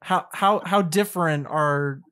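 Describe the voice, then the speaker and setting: Woman podcast host, very low voice, halting and apologetic questions. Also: fairly bright tone. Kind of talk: interviewing guests.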